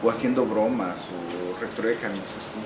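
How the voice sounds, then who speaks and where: A middle-aged man from El Salvador with a Salvadoran Spanish accent, talking through his life as a writer in an unhurried, reflective way, pausing on "eh" and adding wry humor. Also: fairly low pitch. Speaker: interviewee, novelist and musician. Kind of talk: relaxed recorded interview. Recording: echoey room with air-conditioning hum.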